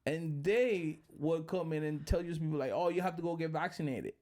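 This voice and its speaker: A man's voice: on the high side, moderate pace, a little mellow. A man from the Dominican Republic hosting a podcast, chatting casually with loose, profane, joking talk. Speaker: podcast host. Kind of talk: casual chat.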